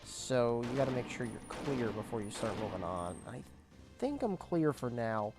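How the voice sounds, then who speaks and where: A young man casually commentating his gameplay, explaining objectives as he goes, his voice cracking and squeaking now and then. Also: very animated, moderate pace, over music. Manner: chatty, explains objectives as he plays. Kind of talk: casual let's play commentary.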